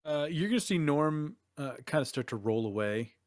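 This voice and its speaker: A man's voice: on the high side, lively intonation, moderate pace, dry recording, studio quality. A man playing a tabletop game, casually describing what his character does.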